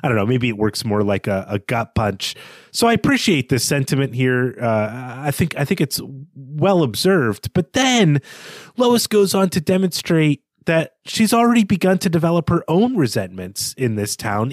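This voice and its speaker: A man's voice: on the high side, very animated, normal speed, no echo, clean studio sound. A man co-hosting a podcast, giving reflective opinions on literature in a conversational way. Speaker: podcast co-host.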